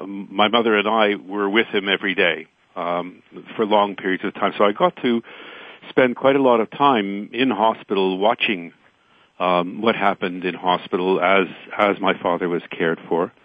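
An older man with a lowish voice speaks on a dull, band-limited connection. He is an interview guest, reflective and hesitant, often repeating words. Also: no room sound. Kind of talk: reflective personal recollection.

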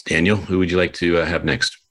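A man with a low voice hosts a conversational interview show over a video call. He is chatty and effusive with the people he talks to. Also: steady tone, quick pace, fairly bright tone. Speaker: show host.

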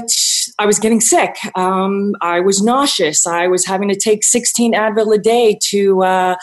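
Woman in her forties, halting personal testimony. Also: medium pitch. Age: late 40s. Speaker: interview guest, vegan activist.

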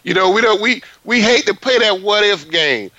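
A man's voice: high-pitched, lively intonation, moderate pace, pushed and bright, no room sound, highs cut off. A man in his fifties hosting a sports talk show, talking emphatically and repeating himself in casual conversation. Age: 50s. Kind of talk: casual radio interview.

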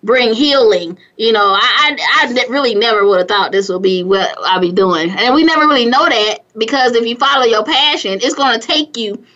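A woman with a squeaky, fairly high voice that sounds bright and sharp, talking in a loose, energetic, motivational way. She laughs easily and restarts her sentences often. The recording is slightly muffled and lo-fi. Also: normal speed, hardly any pauses. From California. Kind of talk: casual motivational chat.

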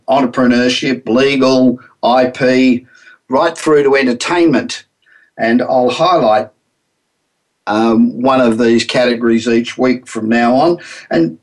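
A man's voice: mid-range voice, rough recording. A middle-aged man with an Australian accent, hosting a business radio show in a chatty, upbeat monologue with frequent ums.

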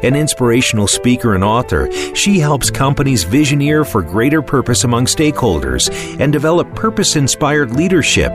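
A man reading the scripted announcer promo for a radio program in an upbeat delivery. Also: medium pitch. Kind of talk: scripted promo read over music. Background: music bed.

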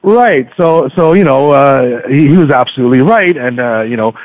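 Male podcast guest, mid-pitched, halting and wordy, casual chat over a dry, muffled radio-like connection. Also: lively intonation, no room sound. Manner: halting, wordy, stop-and-start explaining. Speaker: podcast guest, book reviewer. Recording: dull lo-fi radio-like line.

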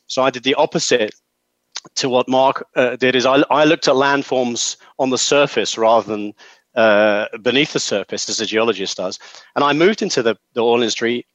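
A man's voice: medium pitch, no echo, dull audio. Stammering London man telling his story. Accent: London English. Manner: stammering restarts, animated storytelling. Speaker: guest, sustainability executive. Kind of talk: interview answer.

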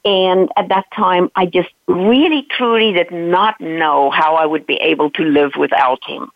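A South African woman in her fifties, telling her life story as an interview guest, animated and earnest, often checking in with "right?". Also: on the low side, a little slow, vocal fry, no echo. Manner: earnest, animated retelling with frequent "right?" asides. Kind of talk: personal storytelling in a podcast interview. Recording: dry, tinny phone-like line.

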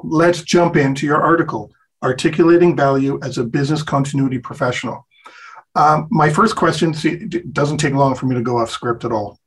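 A man's voice: medium pitch, slightly rough, rough recording. A man hosting an interview show, friendly and a little rambling as he asks his questions. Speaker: host.

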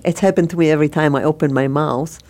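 An Israeli woman speaking as a guest on a radio interview. Her voice sits low and mellow, and she tells her story slowly, with many pauses and restarts. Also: steady tone. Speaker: celebrity chef guest.

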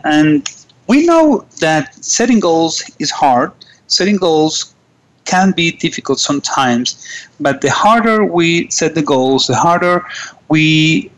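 A man's voice: mid-range voice, rough recording. Earnest man. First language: Spanish. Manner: earnest, often restarts and repeats words. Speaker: radio show host. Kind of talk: casual motivational radio talk.

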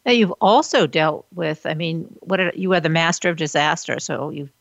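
A woman with a low, gravelly voice hosts an interview, feeling her way through hesitant, searching questions. Her audio is dull and muffled, with a dry, close sound. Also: normal speed, dry recording. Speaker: interviewer, host. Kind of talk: remote interview.

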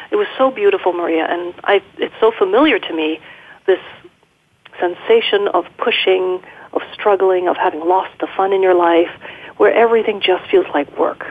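A middle-aged woman speaking as an interview guest, reflective and measured, over a narrow phone-like line. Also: on the low side, breathy edge. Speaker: guest, leadership coach. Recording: muffled, band-limited phone-like line.

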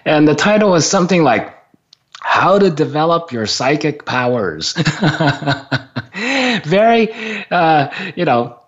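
Amused man from Japan, lively voice. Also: fairly high pitch, takes it slowly. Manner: amused, laughs often, repeats words. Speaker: guest on spiritual talk show. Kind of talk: casual storytelling. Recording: dull lo-fi sound.

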